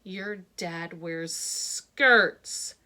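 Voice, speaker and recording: A woman voicing a taunting schoolboy bully in a storybook read-aloud, sneering and then shouting. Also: on the low side, very slow, exaggerated voice.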